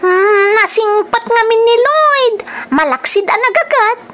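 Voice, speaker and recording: Woman acting bright high voiced teasing office girl, filipino accent, radio drama style. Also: lively intonation, measured pace, slight background noise, exaggerated voice. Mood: smitten, teasing.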